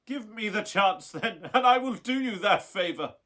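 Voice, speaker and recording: A man's voice: high-pitched, expressive, normal speed, bright, forceful tone. A man playing a bitter, wrathful young scientist in a radio play, with intense, melodramatic delivery. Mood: bitter, wary. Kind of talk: theatrical radio play acting. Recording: roomy echo.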